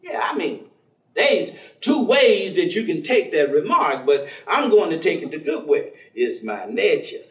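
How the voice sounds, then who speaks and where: An actor playing a warm, devout, folksy man, teasing and preaching in a conversational staged reading. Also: fairly high pitch, big pitch swings, measured pace. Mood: amused, teasing. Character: warm, devout, folksy man, witty self-styled outlaw believer. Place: theatre stage. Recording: echoey stage recording from far off.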